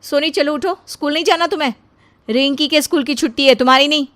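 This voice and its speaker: A woman playing a bitter, jealous young wife and mother in an animated moral story, scolding and sarcastic. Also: high voice, quick pace, no room sound, pitched above the performer's own voice. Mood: angry scolding.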